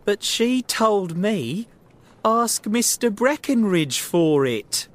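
Man acting a high-pitched, nervous little man. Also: very animated, slow, full character voice. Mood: pleading. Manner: timid, pleading, agitated. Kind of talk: acted audiobook storytelling.

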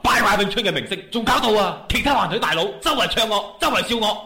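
A man's voice: high voice, expressive, fast talker, bright, forceful tone, exaggerated voice. A man playing a hot-tempered, ruthless gang boss and overbearing brother in a Cantonese radio drama. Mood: furious, scornful. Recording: roomy echo.

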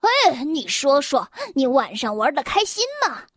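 Woman as high-voiced, gossiping little storybook elves. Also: very animated, unhurried, no room sound, clean studio sound. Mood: teasing, nosy.

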